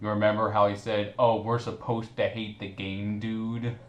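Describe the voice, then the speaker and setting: Echoey low-voiced man hosting a casual chat. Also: flat delivery, normal speed, muffled recording. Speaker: host. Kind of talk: casual group commentary chat. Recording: roomy echo with some background noise.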